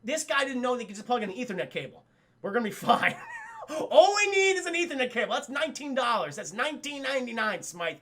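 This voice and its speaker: A man's voice: very high-pitched, sing-song, quick pace, sharp tone, voice pushed up, heavily acted. A man voicing a penny-pinching cartoon crime boss with comic, exasperated insistence. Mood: exasperated, amused.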